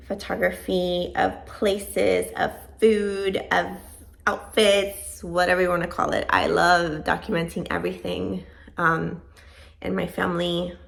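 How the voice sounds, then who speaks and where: Chatty woman with a gravelly edge. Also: mid-range voice, a bit bright. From born in Venezuela, raised in Georgia. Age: 30s. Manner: chatty, rambling, self-deprecating. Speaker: vlogger. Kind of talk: casual chat to camera.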